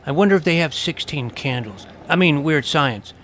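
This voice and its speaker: An actor playing an eager, suspicious, jumpy twelve-year-old boy vampire hunter in an audio drama, with a creaky edge to the voice. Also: on the high side, lively intonation, normal speed, fairly bright tone, a little hiss or hum. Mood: watchful, chatty.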